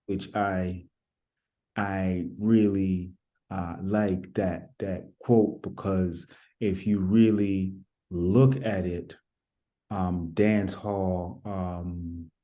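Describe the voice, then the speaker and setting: A man hosting an interview, asking slow, drawn-out questions with many fillers. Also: sits low, steady tone, warm tone. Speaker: interviewer host. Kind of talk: casual interview talk.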